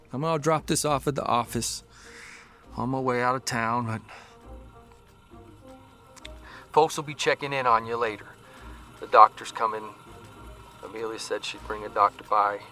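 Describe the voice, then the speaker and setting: Soft music sits under a man playing a folksy newspaper columnist in a radio drama. He speaks at a medium pitch in a homespun, conversational storyteller's way, with a dry wryness. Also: natural voice. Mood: tender, worried. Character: folksy newspaper columnist, a worried, tender husband.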